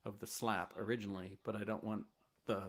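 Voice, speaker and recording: A man from the United States sits in as a guest and tells stories in a loose, easygoing way. His voice sits fairly low. He meanders through each anecdote with plenty of fillers. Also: level tone, fairly quick. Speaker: guest bass player. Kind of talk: casual storytelling chat.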